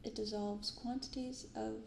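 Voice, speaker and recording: Female ASMR host, gentle and casual, gravelly fry, roomy binaural recording with audible noise. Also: fairly high pitch, fairly even intonation. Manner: soft, gentle, unhurried delivery. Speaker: host of a card-opening ASMR channel. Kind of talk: soft-spoken ASMR, casual commentary. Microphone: binaural microphone. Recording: roomy echo, clearly audible background noise.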